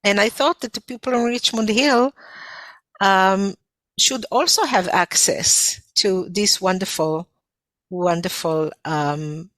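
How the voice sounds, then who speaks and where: A woman's voice: mid-range voice, takes it slowly, fairly bright tone, no echo. Israeli-accented woman, dry close sound. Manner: earnest, reflective storytelling. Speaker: folk dance instructor, guest. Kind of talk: casual interview.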